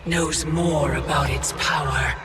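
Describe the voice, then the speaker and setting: An actress playing an imperious female Titan villain in a video game, cool, haughty and menacing, speaking deliberately. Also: low-pitched, fairly even intonation. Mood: cool, taunting. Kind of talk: dramatic video game cutscene acting. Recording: loud cinematic music behind.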